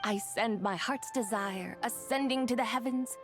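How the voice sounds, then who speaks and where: A woman's voice: a little high, moderate pace, lightly acted. A woman voicing a proud, serene ancient immortal maiden in a video game, with formal, lofty diction. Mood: reverent. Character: proud ancient adeptus maiden, serene, dignified, bemused by mortals. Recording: background music under voice.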